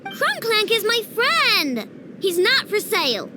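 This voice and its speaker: A woman voicing an earnest, stubborn young girl inventor in an animated game cutscene, with emphatic, childlike delivery. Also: very high voice, takes it slowly, heavy background noise, heavily acted. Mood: defiant. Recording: loud game music behind.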